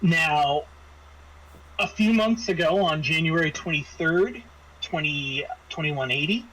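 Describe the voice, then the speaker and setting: Slowish guy game master explaining a scenario, lots of uhs and restarts, bit of background noise. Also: a little high, fairly bright tone, poor audio. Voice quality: halting delivery with frequent hesitations and restarts. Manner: casual, explanatory. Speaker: game master of a tabletop roleplaying session. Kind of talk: semi-improvised briefing to players, narrating a scenario.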